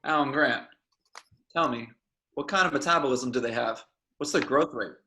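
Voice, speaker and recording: Man playing a bright-voiced, curious scientist. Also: mid-range voice, normal speed. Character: curious, intense male scientist, eager and probing. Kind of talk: table read of a film script.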